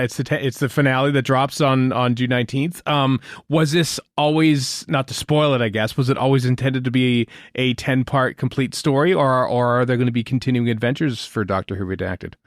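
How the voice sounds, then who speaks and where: A man's voice: mid-range voice, no room sound, clean studio sound. A man hosting a casual podcast interview, asking questions in an informal, hesitant way.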